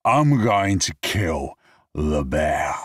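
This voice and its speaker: A man playing a loud, sloppy drunk. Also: rumbling low, very animated, very slow, slightly rough, dry recording, studio quality, full character voice. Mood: drunken rant. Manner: slurred, loud, cocky taunting. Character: drunk, belligerent man, swaying and shouting.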